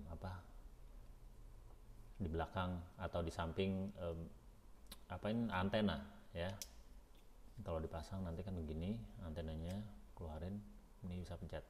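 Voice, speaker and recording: A man's voice: sits low, a little mellow, a little hiss or hum. A man reviews a product in a casual, unscripted vlog style, thinking aloud informally and hesitantly as he goes.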